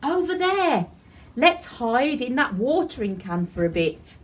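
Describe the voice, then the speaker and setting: A woman's voice: fairly high pitch, very animated, a little slow. A woman voicing a cheerful, helpful little tooth fairy in an eager, sing-song way for a storybook. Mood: alarmed.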